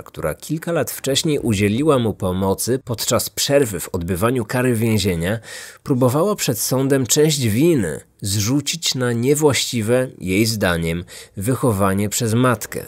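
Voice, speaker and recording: A man hosting a podcast, reading scripted true-crime narration. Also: medium pitch. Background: faint music.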